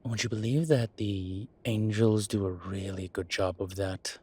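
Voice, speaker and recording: Man playing a deep, husky demon professor. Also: steady tone, darkish tone. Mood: wry, reassuring. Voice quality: husky, breathy low voice. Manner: slow, halting, measured delivery with sighs. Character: ancient male demon professor, gruff but contrite and caring. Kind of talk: intimate audio roleplay to one listener.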